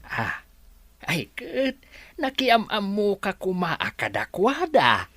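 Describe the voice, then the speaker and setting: Woman as a slow, sing-song resort employee. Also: medium pitch, huge pitch swings, a bit bright, husky, no room sound, exaggerated voice. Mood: encouraging. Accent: Ilocano. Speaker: voice actor. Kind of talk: comedy radio drama acting.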